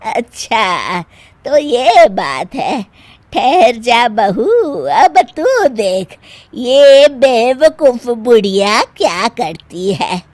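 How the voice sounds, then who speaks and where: A woman plays an elderly mother-in-law from a cartoon moral tale, scolding and sly. Her high voice drags out each complaint slowly and theatrically. The sound is close and dry. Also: sing-song, darkish tone, dry recording, exaggerated voice. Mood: vengeful. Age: older. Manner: theatrical, nagging, drawn-out delivery. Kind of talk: Hindi animated moral story voice acting.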